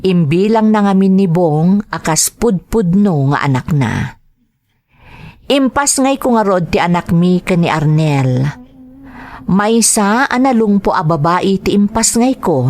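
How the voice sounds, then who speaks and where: A woman acting as an earnest single mother with a fairly low voice and an Ilocano accent. She speaks with heartfelt emotion, as in a radio drama, and carries worry and love in her delivery. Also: a little mellow.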